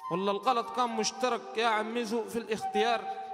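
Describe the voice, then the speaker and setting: A man's voice: high voice, level tone, rapid-fire. A man reciting a rhythmic spoken-word poem on a stage, into a handheld microphone. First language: Arabic. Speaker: poet performing his poem. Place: large hall on a stage with an audience. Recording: reverberant hall with music.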